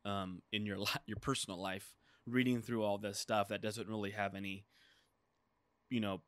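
A man in his thirties rambles through a relaxed podcast chat, leaning on filler words and acted-out asides. His voice sits a little low. He is recorded close on a desk mic in a small studio room with very little echo. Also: moderate pace. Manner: rambling, many "like" fillers, animated retelling. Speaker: podcast co-host. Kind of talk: casual chat. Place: small studio room with dark curtain backdrop. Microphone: desk mic on boom arm.

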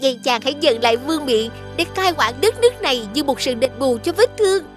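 A devoted young maiden in a cartoon fairy tale. Her voice is high and gentle, with formal, courteous phrasing. Music is clearly present behind her. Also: lively intonation, brisk. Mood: tender. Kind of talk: animated fairy-tale dubbing.

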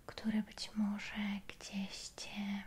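A woman softly guiding a relaxation session in ASMR style, hushed and soothing, gently repeating her phrases. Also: medium pitch, completely flat delivery, very slow, husky. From Poland. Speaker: ASMR creator, reiki session guide. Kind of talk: ASMR guided relaxation, soft-spoken and whispered.

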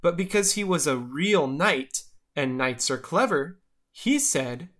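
Bright, animated children's storyteller. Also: medium pitch, slow pace. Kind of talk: expressive children's picture-book read-aloud.

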